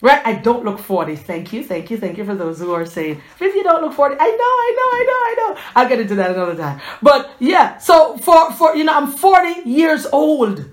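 A woman's voice: high voice, sing-song, fairly quick, hardly any pauses. A woman in her forties giving a fervent, chatty inspirational faith talk to her viewers, with a preachy edge and frequent restarts. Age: 40s.

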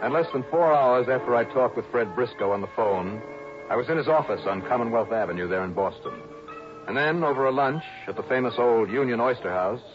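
An actor playing a wry, tough American insurance investigator in a 1960s radio detective drama, with a clipped, hard-boiled delivery. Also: male voice, mid-range voice, animated, a little fast, natural voice. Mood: brisk narrating. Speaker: lead actor and narrator. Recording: dull old radio broadcast, music behind.

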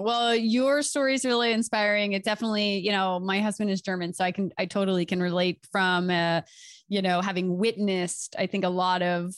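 A woman's voice: on the low side, no echo, clean studio sound. A woman interviewer asking friendly, encouraging questions in a conversational style.

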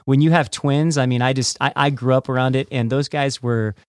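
A man's voice: mid-range voice, steady tone, a little fast, dry recording, studio quality. A middle-aged man hosting a podcast, moving between a scripted introduction and casual interview talk. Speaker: podcast host.

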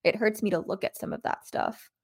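A woman's voice: mid-range voice, fairly even intonation, a little fast, breathy edge. A woman in her twenties co-hosting a podcast, speaking earnestly and openly in casual conversation. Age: 20s. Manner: earnest, open about feelings. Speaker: podcast co-host.

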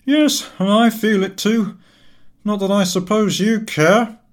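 A man voicing an aggrieved, self-pitying invalid gentleman in a comic audiobook, complaining in a plaintive, touchy way. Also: high-pitched, steady tone, slow pace, voice pushed up. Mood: reproachful. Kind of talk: audiobook character voice, comic Victorian novel.

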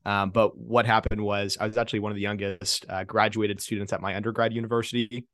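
Man, 20s, low-pitched, easygoing guest talk, dry close recording. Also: fast talker, dry recording. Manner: relaxed, conversational, many fillers and restarts. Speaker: guest, marketing consultant. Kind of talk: casual podcast interview.

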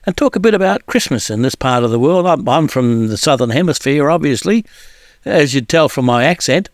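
A man's voice: on the high side, lively intonation, no echo, studio quality. Easygoing New man hosting radio. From New Zealand. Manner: rambling, halting with many fillers and restarts. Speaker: radio countdown host. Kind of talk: casual radio chat.

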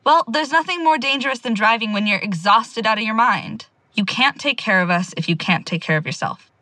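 Woman acting a stubborn, higher-voiced younger sister. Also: lively intonation, brisk, bright, forceful tone, no echo. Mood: defiant, concerned. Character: determined, stubborn younger sister searching for missing friend. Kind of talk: audio drama acting.

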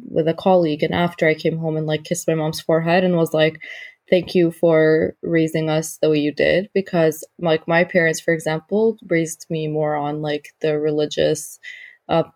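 A woman's voice: fairly low pitch, darkish tone. A Jordanian American woman hosting a casual interview, enthusiastic and chatty, with plenty of "like" fillers. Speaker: podcast host interviewing a guest.

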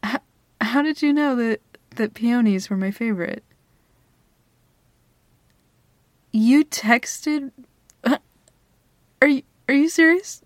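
A woman's voice: on the high side, a little slow, no room sound, studio quality, lightly acted. A young woman playing a flustered girl smitten with her best friend in an intimate ASMR roleplay, hesitant, stammering and giggly. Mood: touched, shy. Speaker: audio roleplay performer. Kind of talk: ASMR girlfriend roleplay, intimate one-sided dialogue.

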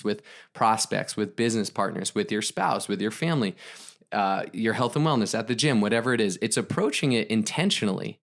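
A man co-hosting a podcast, chatting casually in an upbeat, motivational way, talking in long run-on stretches. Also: on the low side. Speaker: podcast co-host. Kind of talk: casual chat.

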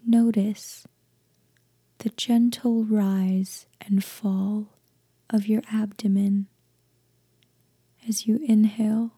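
Female meditation guide, soft half-whisper, very warm and relaxed tone, gentle slow delivery, close dry sound. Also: medium pitch, husky, no echo. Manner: gentle, hushed, deliberate with long pauses.

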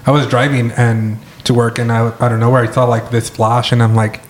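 A young adult man co-hosting a podcast in casual chat, with a raspy voice and laid-back, joking replies. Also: medium pitch, little pitch movement, fairly quick. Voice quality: raspy today. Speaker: podcast co-host.

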